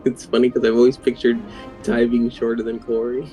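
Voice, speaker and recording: A man's voice: medium pitch, monotone, fairly quick, mellow, faint music behind, rough recording. A man playing a tabletop game and chatting casually with the group.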